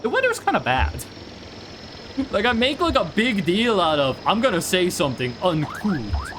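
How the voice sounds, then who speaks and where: A young Northern Norwegian man with a Norwegian accent chats casually on a game stream, rambling through stories and slipping into mock-formal asides. His voice sits high and swings widely up and down. A faint hum of ambience lies under the recording. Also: huge pitch swings, moderate pace. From Northern Norway. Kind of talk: casual livestream chat while gaming.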